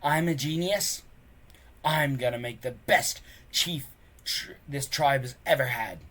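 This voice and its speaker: A reader voicing a boastful, contemptuous boy bully in a children's storybook, loud and sneering. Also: mid-range voice, a little slow, pitched above the performer's own voice. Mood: smug.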